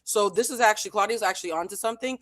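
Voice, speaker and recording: A woman's voice: mid-range voice, racing, fairly bright tone, no echo. A woman co-hosting a casual chat, playful and full of joking asides. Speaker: co-host.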